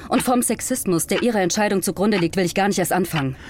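Resolute female crew leader for an audio drama played by a woman, medium pitch, blunt and commanding. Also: fast, darkish tone, natural voice. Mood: defiant, exasperated. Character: resolute woman astronaut pilot, loyal, blunt team leader.

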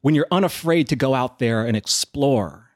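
A man speaks as a guest host, offering conversational commentary. His pitch is average and he pauses and repeats words as he thinks, in a very dry recording. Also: animated, no echo.

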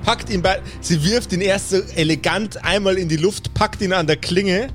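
A man's voice: high voice, moderate pace. A man narrating an improvised tabletop fantasy game as game master, with dramatic, descriptive storytelling. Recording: music playing behind the voice. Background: background music.

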